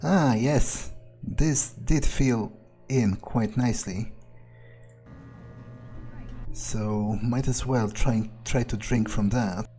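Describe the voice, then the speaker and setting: Slow-talking sardonic vampire vigilante played by a man. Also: mid-range voice, dark tone, faint music behind. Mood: casual relish. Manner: musing, hesitant, sardonic, swearing. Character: cold, thrill-seeking male vampire vigilante, musing aloud. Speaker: gamer role-playing a character in a playthrough. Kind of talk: in-character game narration.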